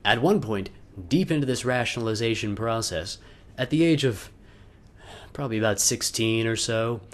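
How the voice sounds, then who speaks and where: Young adult male for a theatrical first-person letter read to camera; halting, emphatic, with dry asides. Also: medium pitch. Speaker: video letter narrator.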